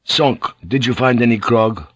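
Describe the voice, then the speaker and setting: A man voicing a commanding, short-tempered ship's pilot in an audiobook, giving curt, forceful orders. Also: medium pitch, measured pace, no room sound, lightly acted. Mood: commanding, testy.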